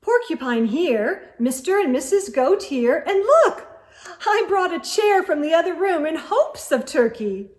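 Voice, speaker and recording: A woman's voice: extremely high pitch, very animated, measured pace, a little mellow, pitched above the performer's own voice, full character voice. A woman voicing a delighted, motherly female moose hosting dinner in a children's storybook read-aloud. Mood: welcoming, bustling.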